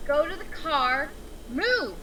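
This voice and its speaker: A woman's voice: high voice, very animated, slow pace, sharp tone, slight background noise, exaggerated voice. A woman playing a tough, ruthless female enforcer in a script table read, blunt and commanding.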